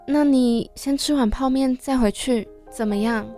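A woman acts out a shy young woman in a radio drama. Her voice is high, polite and a bit hesitant, with light music underneath. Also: moderate pace, dark tone, close to an everyday voice. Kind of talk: audio drama acting.

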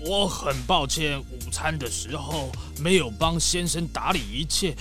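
An actor playing an apologetic, flustered servant in a children's audio drama, hesitant and deferential. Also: male voice, on the high side, animated, a little slow, music in the background.

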